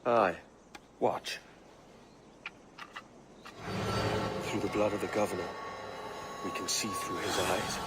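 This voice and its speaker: A man playing a determined, gruff pirate captain in a video game, blunt and terse in delivery. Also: sits low, fairly even intonation, measured pace, heavy background noise. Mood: calm, purposeful. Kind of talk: dramatic video game acting.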